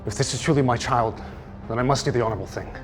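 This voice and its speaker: An actor playing a proud, honourable duke in a period romance drama, speaking in a formal, commanding manner. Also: male voice, medium pitch, quick pace, music in the background, close to an everyday voice. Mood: stern. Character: proud, honourable male duke, guarded but chivalrous.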